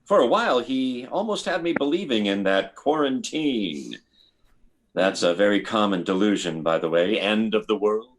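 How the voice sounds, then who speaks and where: A man acting a measured, clinical military doctor in a 1950s-style radio drama. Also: lowish voice, animated, lightly acted. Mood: rueful, musing.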